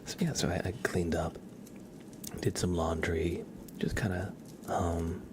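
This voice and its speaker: A man hosting an ASMR livestream, chatting casually in a soft, breathy, often whispered voice with slow, halting, rambling delivery. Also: deep voice, flat delivery. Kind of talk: ASMR, casual chat with viewers. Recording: clear background noise.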